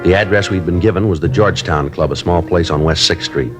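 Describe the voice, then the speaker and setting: An actor playing a terse police sergeant, narrating a 1950s radio crime drama in a clipped, deadpan way. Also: male voice, low voice, a little fast, soft background music, lightly acted. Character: terse male police sergeant, matter-of-fact.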